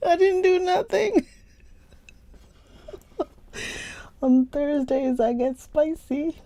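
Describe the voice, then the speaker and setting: A woman's voice: high-pitched, lively intonation, very slow, warm tone, no echo. A young woman from Ghana hosting a livestream book reading, chatting casually and laughing often as she greets viewers by name. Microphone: phone or computer stream mic.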